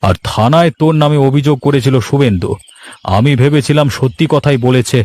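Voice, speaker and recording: A man's voice: mid-range voice, a little fast, a little mellow, lightly acted. A man voicing a village police officer in a cartoon, addressing people in a familiar, official way. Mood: contrite. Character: gruff but apologetic village police officer.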